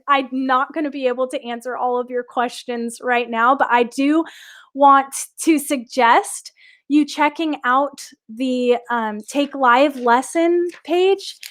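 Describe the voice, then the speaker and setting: A woman's voice: sits high, takes it slowly, a bit bright. A young woman teaching a live online singing class, chatting upbeat with viewers and answering their questions.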